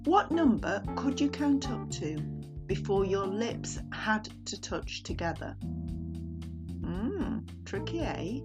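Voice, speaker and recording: A woman's voice: mid-range voice, sing-song, slow, over music. A middle-aged woman hosting a podcast, speaking in a chatty presenting style. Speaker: podcast host.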